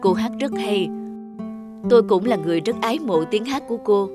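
A woman voicing a calm, kindly middle-aged male doctor in a cartoon, speaking slowly and gently. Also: medium pitch, over music, close to an everyday voice. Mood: gentle.

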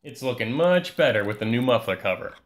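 Man, calm repair narration, step-by-step, scripted voice-over, medium pitch. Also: fairly quick. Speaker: repair channel host.

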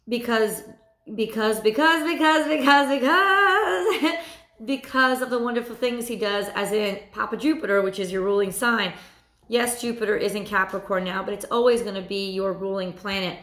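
Hoarse woman giving a tarot reading. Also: on the high side, roomy sound. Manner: animated, repeats phrases, chatty asides. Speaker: tarot reader. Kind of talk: weekly tarot reading to camera. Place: small room at home.

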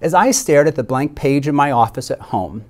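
A man's voice: fairly high pitch, lively intonation, normal speed, a little mellow. A middle-aged man, a school principal, giving a prepared graduation speech in a reflective, heartfelt way.

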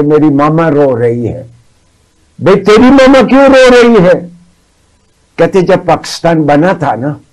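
An elderly Pakistani man in his seventies speaks in a fairly high voice at a very unhurried pace. He tells his stories with emphasis, repeating phrases to drive them home, as a guest on a studio talk show. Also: a little mellow, rough recording. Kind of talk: storytelling in a TV discussion. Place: indoor TV studio. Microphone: lapel mic.

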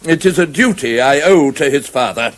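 A man's voice: on the high side, expressive, moderate pace, close to an everyday voice. An older man with a British accent playing a solemn, grumpy, pompous old badger in a radio drama. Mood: mournful, solemn. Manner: pompous, ponderous, muttering. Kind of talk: 1970s BBC radio drama acting. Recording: vintage radio broadcast, faint background noise.

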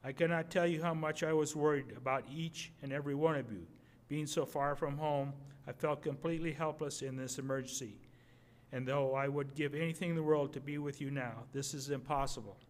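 An older man reading a wartime letter aloud at a podium microphone, measured and a little halting. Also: a little high, steady tone. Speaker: readers theater reader. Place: museum gallery, indoors.

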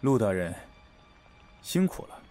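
A man's voice: lowish voice, very animated, a little slow, dark tone, faint music behind. A man acting a scheming, contemptuous emperor in a Chinese period audio drama, imperious and dismissive. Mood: gracious authority.

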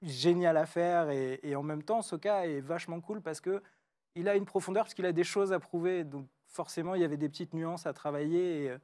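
Eager, high-voiced young male actor. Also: fairly quick, dark tone. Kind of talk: casual chat.

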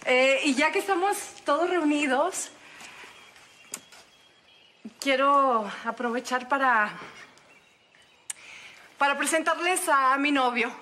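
An actress playing a haughty, poised rancher's sister in a telenovela drama. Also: female voice, high voice, unhurried, sharp tone, a little hiss or hum. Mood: poised, gracious. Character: haughty, scornful rancher's sister, sweet to her boyfriend. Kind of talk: telenovela acting.

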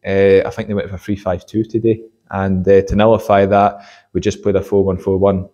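A young man, an assistant football manager, giving a measured post-match interview with many fillers, in a stadium corridor. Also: deep voice, level tone, dark tone, muffled recording. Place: corridor by a sports changing room.

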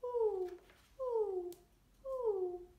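A woman voicing an amused male great horned owl from a storybook, with drawn-out hoots. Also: phone-quality sound, heavily acted. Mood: amused hooting. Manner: drawn-out high hooting. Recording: roomy, distant camera microphone.

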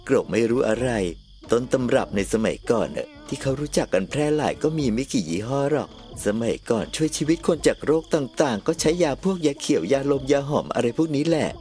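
A man voicing a calm, reasonable ghost friend in a cartoon comedy, talking in a patient, placating way. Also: mid-range voice, a little fast, close to an everyday voice. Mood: knowing, explanatory.

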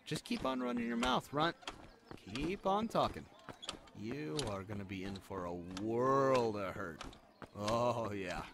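A man's voice: on the high side, vocal fry, bright, forceful tone, a little hiss or hum, heavily acted. A man voicing an eager, insecure spider companion who stammers, pleads and boasts in an amateur fantasy audio drama. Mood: blustering.

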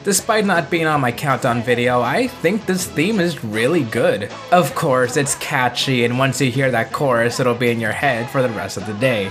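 A man's voice: mid-range voice, moderate pace, few pauses, over music. A man with an American accent hosting a scripted retrospective, with wry, self-aware joking and casual asides. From United States. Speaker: video essay host.

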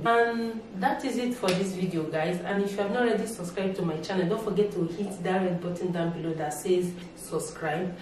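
A young Nigerian woman speaks to the camera from her sofa with lively gestures, repeating words and slipping into Pidgin. Her voice is set back from a distant mic and rings around a very echoey room. A faint hiss sits under it. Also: fairly low pitch. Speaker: YouTuber. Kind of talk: casual opinion vlog to camera. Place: indoors, living room with a sofa.

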